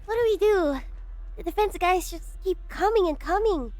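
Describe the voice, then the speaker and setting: A woman voicing a playful, excitable scientist director in an amateur fan dub, talking in an animated, teasing way. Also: extremely high pitch, moderate pace, no echo, soft background music. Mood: flustered.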